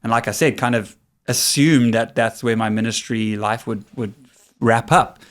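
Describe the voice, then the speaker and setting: A man in his forties with a South African accent, a pastor telling his story as a guest in a casual, relaxed podcast chat. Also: mid-range voice, moderate pace. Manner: relaxed, storytelling, laughs easily. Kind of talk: casual conversational interview.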